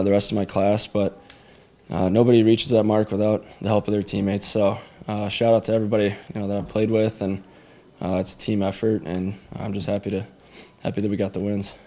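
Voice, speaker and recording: A young American college hockey player answers questions at a press conference. His voice is low and flat, with little rise or fall. He speaks modestly and leans on "uh" between phrases. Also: fairly quick. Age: young adult.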